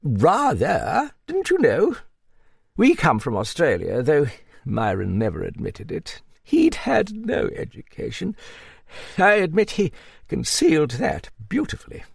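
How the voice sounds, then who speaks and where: Casting: man playing a gruff old dame, blustery and hearty, swooping lively pitch, gravelly edge. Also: unhurried, dry recording, studio quality, exaggerated voice. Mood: confiding. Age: older. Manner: blustery, hearty, muttering asides. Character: gruff hearty old woman, blunt and motherly. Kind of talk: audiobook character voice.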